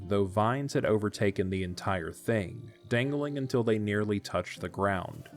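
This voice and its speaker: A man narrating a scripted story as the narrator of an audio drama. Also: on the low side.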